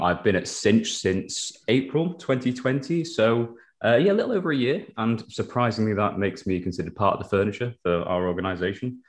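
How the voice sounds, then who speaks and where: A man speaking as an interview guest, explaining his engineering work in a casual, professional, conversational way. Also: lowish voice.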